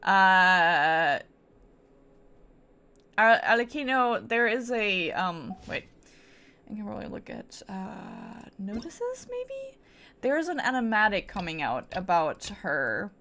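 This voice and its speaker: A woman's voice: mid-range voice, sing-song, slow, pushed and bright. A woman chatting casually as she streams a game, thinking aloud and correcting herself in a playful, rambling way. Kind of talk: casual livestream chat while gaming.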